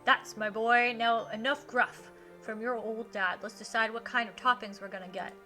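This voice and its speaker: A woman's voice: fairly high pitch, fairly even intonation, moderate pace, sharp tone, soft background music. A woman voicing a mild, caring suburban dad in a visual novel, speaking in an earnest, hesitant way. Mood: fond, cheerful. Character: mild, caring, slightly awkward suburban dad, adult man.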